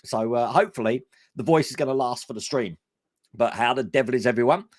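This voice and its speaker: A man hosting a casual live stream, his voice hoarse and worn, chatting enthusiastically with lots of fillers. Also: mid-range voice, a little fast, no echo, studio quality. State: hoarse, voice going.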